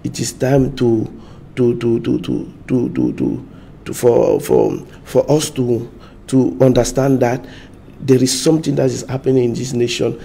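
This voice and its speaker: Halting gravelly man. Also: mid-range voice, expressive, mellow. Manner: halting, repeats words, searches for English. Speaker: party spokesman. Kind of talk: studio interview answers.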